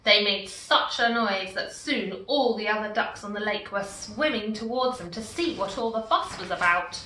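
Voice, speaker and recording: A woman reading a picture book aloud in a slow, expressive storytelling manner. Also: medium pitch, a little hiss or hum. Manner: slow, expressive, warmly animated. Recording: echoey room, far from mic.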